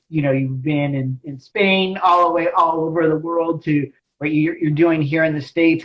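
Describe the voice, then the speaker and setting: A man hosting a casual interview, speaking in a halting way and often repeating his phrases. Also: fairly high pitch, a bit bright, dull audio. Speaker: podcast host, interviewer.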